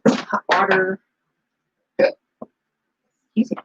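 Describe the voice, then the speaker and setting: A woman's voice: mid-range voice, huge pitch swings, very slow, fairly bright tone, slightly rough, muffled recording. A middle-aged woman from New England chatting casually in her home kitchen while she makes soap, rambling with lots of fillers. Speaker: soap maker and vlogger.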